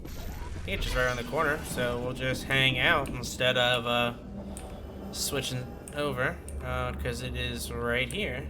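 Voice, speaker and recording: A man's voice: medium pitch, steady tone, unhurried, music in the background. A man giving casual gameplay commentary as a video game streamer. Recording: clear background game noise.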